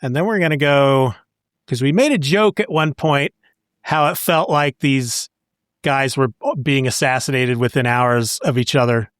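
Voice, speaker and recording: A man co-hosting a podcast in a casual chat, retelling stories in an animated way. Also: mid-range voice, a little slow, clean tone, no echo, studio quality. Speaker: podcast co-host. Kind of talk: casual comic book discussion.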